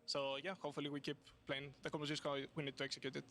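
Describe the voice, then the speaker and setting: A man, a team coach, answering interview questions haltingly with many filler sounds. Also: fairly high pitch, little pitch movement, fairly bright tone, a little hiss or hum.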